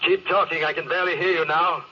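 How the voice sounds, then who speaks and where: An actor playing a bitter, desperate spaceship captain with intense, melodramatic delivery in a 1950s radio drama. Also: male voice, fairly high pitch, steady tone, quick pace, pushed and bright. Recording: muffled, hissy vintage 1950s radio recording.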